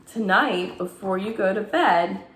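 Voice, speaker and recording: A woman, a teacher, expressively reading a bedtime story aloud to children and pausing to ask her young listeners questions. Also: medium pitch, slight background noise. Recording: echoey room.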